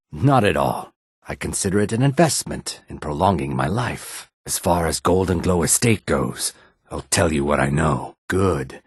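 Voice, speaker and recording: A sly reptilian fence from a fantasy video game, speaking very low with a husky rasp. He is wary and self-serving, a guarded, slightly haughty dealer. The sound is close and dry. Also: no room sound, exaggerated voice. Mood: businesslike, reluctant. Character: shady male lizard-man fence, wary, sly, self-serving merchant. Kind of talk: scripted video game character dialogue.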